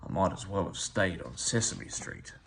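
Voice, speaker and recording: Man acting out a storybook grouch, furry and grumpy, grumbling complaints, gravelly, swooping pitch. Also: expressive, moderate pace, background noise. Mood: glum grumbling. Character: grumpy furry trash-can grouch, male, easily disgusted. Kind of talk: children's storybook character voice.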